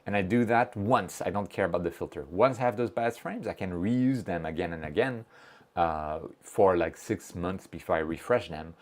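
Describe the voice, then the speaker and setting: A man's voice: deep voice, moderate pace. A man hosting a casual livestream, reading viewer messages aloud, joking about himself and explaining technical steps plainly. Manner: chatty, reads chat messages aloud, self-joking. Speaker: livestream host.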